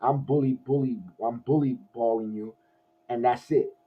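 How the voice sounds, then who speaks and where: A hoarse man hosts a loose post-game sports show, rambling through the numbers with plenty of restarts. His husky voice comes through a dull, lo-fi recording. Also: medium pitch, moderate pace. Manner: rambling, many restarts, excited fan. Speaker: podcast host. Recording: dull lo-fi audio.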